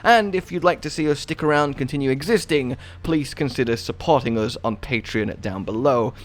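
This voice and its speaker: A man's voice: medium pitch, normal speed. A man giving an enthusiastic video game guide voice-over in a chatty, wordy, playfully dramatic way.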